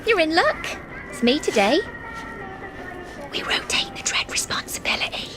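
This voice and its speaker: A woman's voice: high voice, big pitch swings, normal speed, in a whisper, over music. An actress playing an airy, giddy woman in a video game. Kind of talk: video game cutscene acting.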